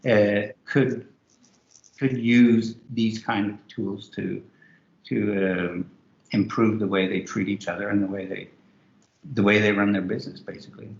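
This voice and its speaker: Low-voiced man on an echoey call. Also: steady tone, a little slow, gravelly. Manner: loose, rambling, many restarts. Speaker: co-author. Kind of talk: virtual author interview. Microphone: video call. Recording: roomy, muffled video call.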